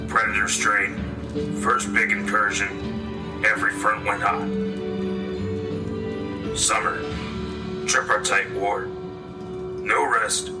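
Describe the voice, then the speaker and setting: A man's voice: lowish voice, little pitch movement, slow, pauses often, far from the mic, loud music behind the voice. A man playing a weary, resolute war correspondent giving a terse, solemn scripted radio dispatch in clipped phrases. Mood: grim.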